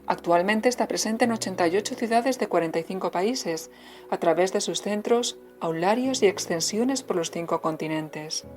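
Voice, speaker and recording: A woman reading a scripted informational narration in a formal, measured way. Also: mid-range voice, a little mellow, faint music behind.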